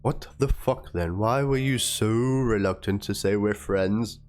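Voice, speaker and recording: A low-voiced man gives a dramatic read-aloud of written messages. His delivery is theatrical, and instrumental music plays faintly underneath. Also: unhurried. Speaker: narrator reading aloud. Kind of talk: dramatic reading of a written chat log and document. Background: instrumental background music.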